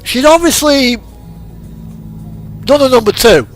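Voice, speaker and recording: High-pitched British man rambling over music. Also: animated, takes it slowly. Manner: crude, jokey, chuckles often. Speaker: game streamer. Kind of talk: casual rambling chat, telling anecdotes. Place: indoors over driving game audio. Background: music and vehicle engine sounds.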